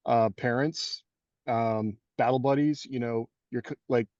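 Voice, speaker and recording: A man's voice: mid-range voice, steady tone, unhurried, a little mellow, no echo. A man with a mid-range voice introduces the topic loosely, with lots of "like" and "you know". His mic sounds dull and lo-fi, and the sound is dry. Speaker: podcast host. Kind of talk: casual chat.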